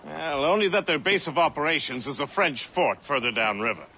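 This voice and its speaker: An actor playing a jaunty, roguish colonial ship's first mate in a video game, glib and theatrical. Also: male voice, a little high, brisk, slight background noise. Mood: matter-of-fact. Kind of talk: video game cutscene acting. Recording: loud music behind the voice.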